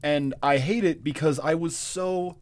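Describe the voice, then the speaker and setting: A man's voice: a little high, a little slow. Chill guy cohosting a podcast, swears a lot, jokes about himself. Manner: swearing, self-mocking, laid-back. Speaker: podcast co-host. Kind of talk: casual chat.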